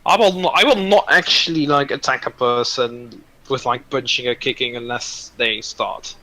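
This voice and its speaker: Blunt, bright-voiced sweary young-sounding man. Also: mid-range voice, normal speed, low-quality recording. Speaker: streamer. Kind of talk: casual chat.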